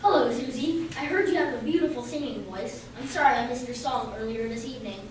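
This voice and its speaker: A woman's voice: fairly high pitch, fairly quick, natural voice. A woman playing a polite, methodical male detective in an amateur radio play. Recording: very echoey room, distant mic, faint hiss.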